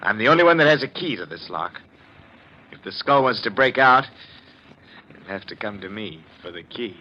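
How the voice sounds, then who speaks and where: An actor playing a skeptical, impatient husband in a 1940s radio mystery, brusque and reassuring, with a stammer when rattled. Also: male voice, on the low side, expressive, moderate pace, close to an everyday voice. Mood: dismissive. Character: skeptical impatient husband dismissing talk of curses. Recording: muffled, noisy 1940s radio recording.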